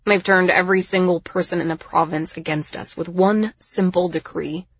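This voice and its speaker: A woman voicing a wounded young man of noble birth in an audiobook, halting and defensive in manner. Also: fairly low pitch, fairly even intonation, breathy edge, no room sound, muffled recording. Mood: bitter.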